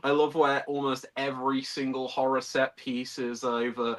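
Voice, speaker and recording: A man's voice: medium pitch, flat delivery, normal speed. An English man from the West Midlands with a Midlands accent and a slight American tinge, co-hosting a casual film livestream with a sarcastic, mock-praising delivery. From England, West Midlands. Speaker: podcast co-host.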